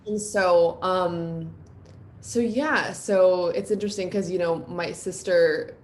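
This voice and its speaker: Reflective woman, soft-spoken and low. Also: fairly even intonation, slow pace, a little hiss or hum. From New York City. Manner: reflective, openly personal, many fillers. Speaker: guest musician. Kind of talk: casual live-stream interview.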